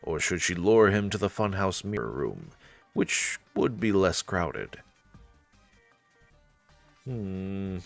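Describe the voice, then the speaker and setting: A man's voice: deep voice, very animated, slow, gravelly, long pauses, faint music behind. A man narrating a visual novel as a dramatic story, reading aloud for a let's play.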